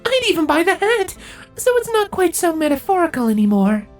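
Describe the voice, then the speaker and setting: A woman's voice: very high-pitched, sing-song, brisk. A woman acting female cartoon pony and changeling characters in a story. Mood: amused. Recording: music behind the voice.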